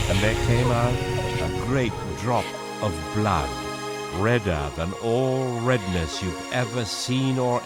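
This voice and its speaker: A slow, low-voiced storybook narrator. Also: male voice. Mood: grave. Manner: measured, expressive. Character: storybook narrator, grave and full of wonder. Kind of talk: dramatic scripted storybook narration.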